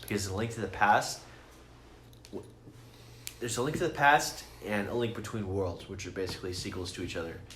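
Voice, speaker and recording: A man's voice: on the low side, a little fast, long pauses, a bit bright. A man co-hosting a podcast, explaining things in a casual, enthusiastic, geeky and sweary ramble. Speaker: podcast co-host. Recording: roomy echo with faint noise.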